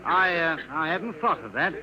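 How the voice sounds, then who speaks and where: An actor playing a polite, hesitant gentleman visitor who asks anxious questions in mid-century radio drama style. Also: fairly high pitch, expressive, normal speed, a crowd in the background, close to an everyday voice, rough recording. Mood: polite, doubtful. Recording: old radio broadcast with background sounds.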